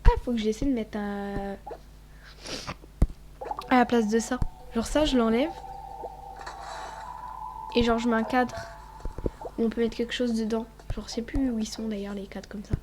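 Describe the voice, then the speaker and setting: A woman's voice: on the high side, fairly even intonation, normal speed, pauses often, background noise. A woman with a cold, sniffling as she chats casually over her gameplay, rambling and often dropping to a whisper. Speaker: gaming vlogger.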